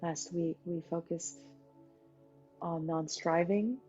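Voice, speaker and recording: A woman leading a guided meditation as its teacher, talking in an unhurried way with pauses between short phrases. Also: lowish voice, fairly even intonation, mellow. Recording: dull lo-fi sound, music behind. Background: music.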